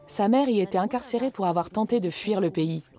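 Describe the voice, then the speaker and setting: A woman reading scripted documentary narration in a measured, informative way. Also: mid-range voice, dark tone, faint music behind.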